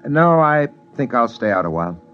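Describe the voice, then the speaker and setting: Man acting weary touchy spaceman gambler, medium pitch, vintage radio drama, dull recording. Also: big pitch swings, normal speed, close to an everyday voice. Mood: easygoing. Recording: muffled old radio recording with music.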